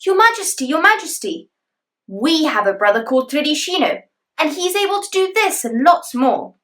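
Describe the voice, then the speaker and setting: Woman doing high, fawning scheming brothers. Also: very animated, normal speed, a bit bright. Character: scheming jealous older brothers.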